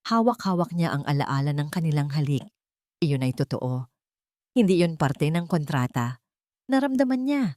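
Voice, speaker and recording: Casting: female romance narrator, low register, dry close sound, dramatic scripted delivery with deliberate, emotive phrasing. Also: darkish tone, dry recording. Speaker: storyteller.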